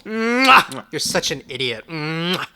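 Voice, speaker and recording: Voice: mid-range voice, sing-song, slow pace, bright, forceful tone, exaggerated voice. An actor playing a hot-headed, sardonic lover in an occult audio drama, with a blunt, teasing way of talking. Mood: teasing, affectionate.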